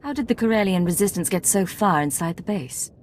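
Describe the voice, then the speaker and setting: A woman's voice: medium pitch, animated, brisk, darkish tone, a little hiss or hum, natural voice. A woman playing a cool, businesslike Imperial spy agent in a video game, controlled and clipped.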